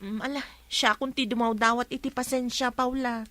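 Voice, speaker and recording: A woman's voice: a little high, fairly even intonation, normal speed, a bit bright, no room sound, natural voice. A woman playing a patient, apologetic wife who pleads with and chides her husband in a radio drama. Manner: pleading, reproving.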